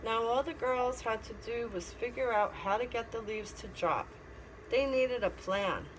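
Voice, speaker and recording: A middle-aged woman reads a picture book aloud as a teacher, pausing to explain and ask simple questions. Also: a little high. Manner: instructive, asking simple questions. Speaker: teacher reading to children. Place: classroom. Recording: clear background noise.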